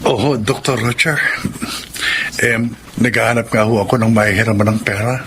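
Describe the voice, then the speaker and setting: A man's voice: fairly low pitch, steady tone, normal speed, fairly bright tone, slight background noise, lightly acted. A man playing a kind, generous jeepney driver and devoted husband in a Tagalog radio drama, earnest and humble. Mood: surprised, humble.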